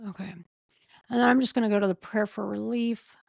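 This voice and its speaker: A woman with a sore throat and a failing voice, reading a legal filing aloud in a slow, halting way and often stopping to correct herself. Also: medium pitch, vocal fry, dry recording, studio quality. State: losing her voice, sore throat. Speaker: streamer reading a court filing. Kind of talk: casual late-night live stream.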